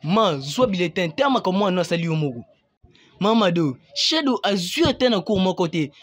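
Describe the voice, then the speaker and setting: A man with a Congolese accent playing an exasperated primary school teacher in an animated comedy. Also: sits high, moderate pace, dry recording.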